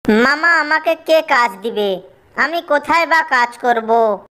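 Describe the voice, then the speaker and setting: A woman's voice: high voice, slow, sharp tone, pitched above the performer's own voice, full character voice. A woman voicing an eager, deferential young male bird in an animal cartoon, earnest and pleading.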